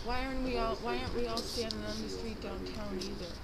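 A woman's voice: fairly high pitch, quick pace. A middle-aged woman talking earnestly and soothingly in a casual street conversation, often repeating her phrases. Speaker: churchgoer. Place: outdoors on church steps in a city street. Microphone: body-worn camera worn by another person, a few steps away. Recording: very noisy outdoor body-camera audio.

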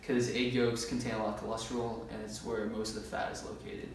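A man's voice: lowish voice, level tone, fast. A man of about twenty talking in a laid-back, informal, slangy way, like a casual vlogger. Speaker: fitness vlogger. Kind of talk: casual grocery haul vlog. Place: bare-walled room indoors. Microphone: camera a few metres away. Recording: echoey room, distant mic, faint noise.

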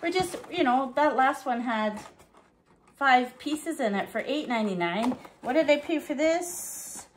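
A middle-aged woman with a fairly high voice chats her way through a grocery haul at her kitchen table, reading each price aloud and musing as she goes. A faint hiss sits under the recording. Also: expressive, unhurried. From Canada. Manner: chatty, reads prices aloud, thinks out loud. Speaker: vlogger. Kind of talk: casual grocery haul chat. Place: home kitchen. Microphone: fixed camera at table.

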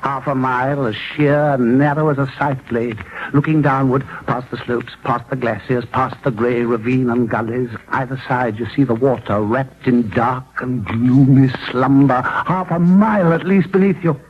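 An actor playing a boastful young rascal of a son, spinning tall tales in grandiose 1940s radio style. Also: male voice, mid-range voice, fairly even intonation, normal speed, few pauses, close to an everyday voice. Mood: grandiose, excited. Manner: declamatory, storytelling, grandiose. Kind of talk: 1940s radio drama acting. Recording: muffled 1940s radio broadcast, faint noise.

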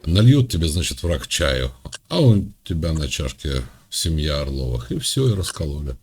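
A man from Russia hosting a chat, needling and mocking the person he talks to in a deadpan way. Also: extremely low pitch, normal speed, a little hiss or hum. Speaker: host. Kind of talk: mocking video-call chat.

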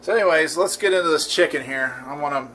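A man hosting a casual home cooking demo in his kitchen, talking in a relaxed, rambling way with lots of fillers and restarts. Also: on the high side, quick pace, a little hiss or hum. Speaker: cooking channel host.

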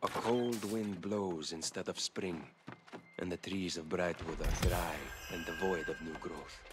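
A man playing a grave, weary knight who reads his journal in a solemn, measured way for a fantasy game. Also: sits low. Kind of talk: scripted dramatic journal narration in a fantasy game.

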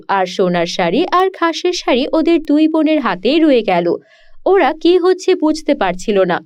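A woman's voice: sits high, darkish tone. A woman reading a scripted children's story as its narrator. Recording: dull lo-fi sound.

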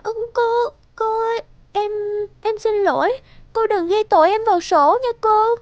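A woman playing a sensitive, aggrieved sixteen-year-old schoolgirl in an animated drama, with a plaintive, youthful, emotional delivery. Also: very high-pitched, unhurried, dry recording, muffled recording. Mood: anxious.

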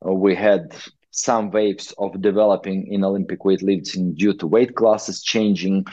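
A middle-aged man from Ukraine with a Ukrainian accent, a non-native speaker giving an online lecture as a weightlifting coach, in halting English full of fillers. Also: deep voice, mellow, no echo. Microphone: video call. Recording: dull lo-fi video call audio.

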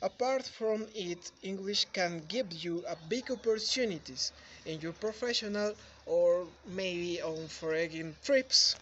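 A young man from Ecuador with a Spanish accent, giving a prepared testimonial in careful, halting learner English, recorded outdoors on a phone. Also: high voice, fairly bright tone, highs cut off, a little hiss or hum. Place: outdoors under open sky.

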